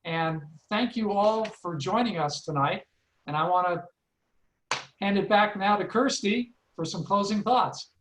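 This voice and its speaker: A man's voice: sits high, a little slow, a bit bright, slight background noise. A man speaking as the moderator of an online panel discussion. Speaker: seminar moderator and theatre producer. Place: indoor room, online seminar. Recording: roomy, echoing sound.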